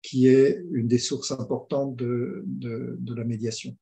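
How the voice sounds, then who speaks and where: A man's voice: medium pitch, level tone, measured pace, creaky, mellow. A man working as a mediator, talking through ideas with frequent fillers and restarts in a conversational interview. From France. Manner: hesitant, many restarts and fillers, storytelling. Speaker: mediator guest.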